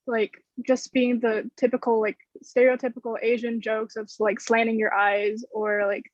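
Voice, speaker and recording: A woman's voice: fairly high pitch, flat delivery, moderate pace, dry recording. A young woman student on a video call from her bedroom, speaking hesitantly with many "like" fillers. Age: young adult. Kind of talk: casual discussion on a video call. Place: bedroom with string lights. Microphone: laptop webcam on a video call. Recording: dull, dry webcam sound.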